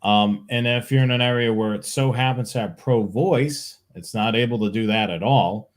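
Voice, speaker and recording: A man's voice: medium pitch, moderate pace. Man hosting a casual tech show. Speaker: live show host.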